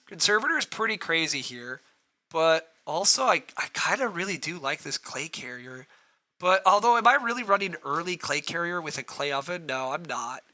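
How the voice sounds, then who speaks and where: A man with a rough, hoarse voice, streaming a board game, thinking aloud through his choices and answering chat as he clears his throat. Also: fairly high pitch, lively intonation, normal speed, bright, forceful tone.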